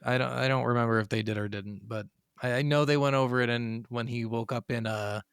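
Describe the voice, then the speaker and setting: A man co-hosting a podcast, chatting casually about video games in an animated, sweary, slangy way. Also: mid-range voice, a little fast, smooth, dry recording, clean studio sound. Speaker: podcast co-host.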